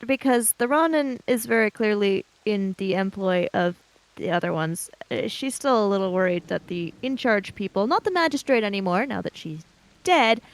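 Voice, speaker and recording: Woman, medium pitch, casual game-player talk, very dry close recording. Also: gravelly, dry recording, poor audio.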